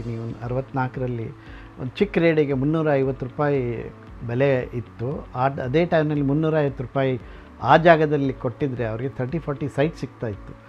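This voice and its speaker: A man's voice: medium pitch, a little mellow. A middle-aged man gives an informal, explanatory guided talk as a collector, mixing in English words. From Karnataka, India. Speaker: radio collector and museum founder. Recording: dull lo-fi sound, music behind. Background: music.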